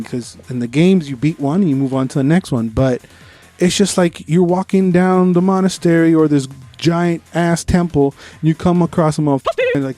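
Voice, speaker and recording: A man's voice: fairly high pitch, mellow, no echo. A man co-hosting a podcast, giving an informal, opinionated movie review in casual conversation and swearing freely.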